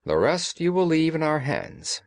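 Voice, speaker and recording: Guy doing a storybook detective, commanding and exact, very formal, pitch moves around a lot, dry sound. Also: very animated, measured pace, no room sound. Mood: brisk, instructing. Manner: precise, authoritative, formal. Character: commanding, analytical gentleman detective. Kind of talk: audiobook character dialogue.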